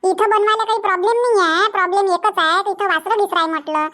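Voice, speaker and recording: Super squeaky sharp little boy, keeps wheedling, varhadi marathi accent, cartoon comedy. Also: fairly even intonation, fast. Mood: persuading. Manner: insistent, cajoling. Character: pushy, wheedling village boy, the friend.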